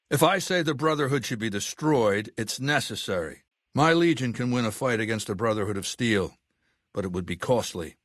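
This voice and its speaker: A man's voice: fairly low pitch, a bit bright, no room sound, clean studio sound. An older man playing an imperious, ruthless warlord in a video game, speaking with cold, commanding, formal diction. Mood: grim, calculating. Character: imperious, ruthless ageing male warlord commanding a slaver legion. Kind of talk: video game character acting.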